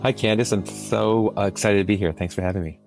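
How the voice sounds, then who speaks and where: A man's voice: lowish voice, steady tone, fast talker, over music, low-quality recording. A middle-aged man speaking as a producer being interviewed, answering in an earnest, emphatic, conversational storytelling way. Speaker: guest, film and podcast producer.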